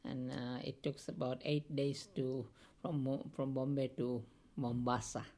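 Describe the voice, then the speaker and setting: A man tells his life story haltingly, full of pauses and fillers. His voice is gravelly and dark, with a mellow, low tone throughout. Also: little pitch movement. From India. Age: 50s. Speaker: oral history interviewee.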